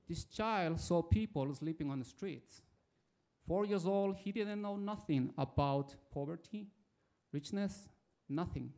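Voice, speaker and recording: A man's voice: on the high side, measured pace, a little mellow. A man in his forties from Ecuador with an Ecuadorian accent, telling a personal story from the floor before asking his question. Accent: Ecuadorian Spanish. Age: 40s. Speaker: audience member asking a question. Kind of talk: prepared speech from the floor.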